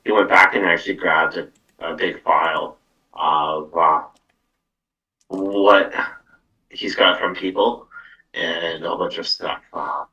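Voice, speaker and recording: Deep-voiced man, distant from the mic. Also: fairly even intonation, takes it slowly, pauses often, sharp tone, muffled recording. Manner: rambling, repeats words and tags "though" onto phrases. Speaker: psychic medium host giving live card readings. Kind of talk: casual live-stream talk and tarot reading. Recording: distant from the microphone.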